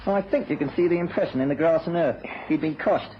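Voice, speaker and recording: An actor playing a deferential, world-weary English police sergeant in a vintage radio drama, respectful, matter-of-fact and resigned. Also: male voice, on the high side, fast, natural voice. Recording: muffled, noisy old radio recording.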